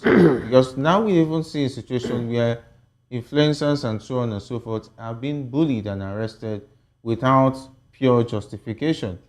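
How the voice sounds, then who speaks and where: A man's voice: mid-range voice, a little hiss or hum. A man moderating a political discussion as a television host. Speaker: TV talk show host.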